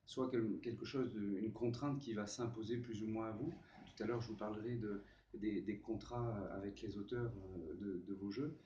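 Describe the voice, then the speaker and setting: A hoarse man with a cold, speaking at a round table with many restarts and hesitations. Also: on the low side, fairly even intonation, normal speed, warm tone. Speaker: panelist on legal matters. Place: conference room. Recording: echoey room, distant dull mic, faint noise.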